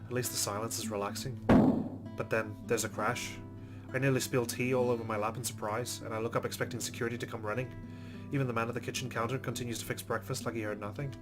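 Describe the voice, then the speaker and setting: A man's voice: medium pitch, little pitch movement, fast talker, music in the background. A man reading a visual novel's narration aloud as a let's-play host, with casual commentary.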